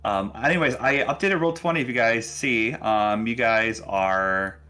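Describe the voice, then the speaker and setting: A man narrating a tabletop game casually as game master, halting and thinking aloud as he goes. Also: mid-range voice, bright, forceful tone, faint music behind.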